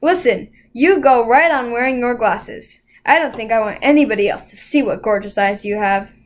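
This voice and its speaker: Storybook teen boy, read by a woman: frank, kind, lightly teasing, fairly high voice. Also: moderate pace, close to an everyday voice. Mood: tender, teasing. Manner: earnest, gently teasing.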